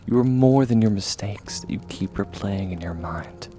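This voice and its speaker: A man playing a gentle, steadfast young swordsman mentor in a comfort ASMR roleplay, speaking softly and reassuringly, at times close to a whisper. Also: lowish voice, faint music behind, close to an everyday voice. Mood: gentle, encouraging. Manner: soft, reassuring, measured, often near whisper.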